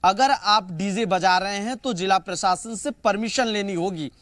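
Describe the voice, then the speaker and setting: A man's voice: sits high, a little slow, a bit bright, dry recording, studio quality. A man giving an unscripted live news report as a correspondent, with repetitive, emphatic phrasing.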